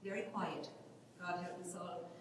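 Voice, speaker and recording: A woman's voice: lowish voice, fairly even intonation, unhurried, lightly acted. A woman playing a meek farm daughter, echoing, distant. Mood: worried. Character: dutiful, subdued Irish farm daughter. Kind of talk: staged dramatic reading of a play. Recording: echoey hall, far off, noisy.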